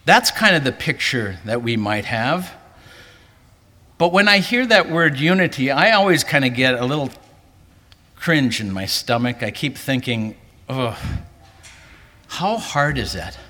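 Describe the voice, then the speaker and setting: Pastor guy preaching, bright forceful voice, long echo off a big hall. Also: animated, measured pace, long pauses. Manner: earnest, rising pitch for emphasis. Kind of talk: sermon. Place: large hall, preaching to a convention. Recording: hall reverb.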